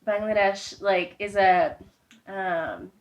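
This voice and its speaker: Woman, from the US, mid pitch, chatty, casual, lots of "like". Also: fairly even intonation, takes it slowly. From United States. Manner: chatty, says "like" a lot. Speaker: interview guest. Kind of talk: casual interview conversation.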